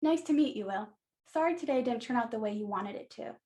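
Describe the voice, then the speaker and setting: A woman playing a flirtatious florist in a radio play, her voice on the high side. She talks casually, with wry humor and easy sympathy. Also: animated, brisk, dark tone, close to an everyday voice. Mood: lightly flirtatious. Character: friendly, flirtatious woman florist running family shop.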